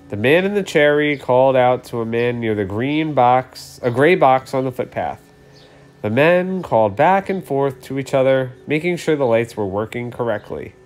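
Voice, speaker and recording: A man in his thirties reading a children's story aloud expressively, as a storyteller. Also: mid-range voice.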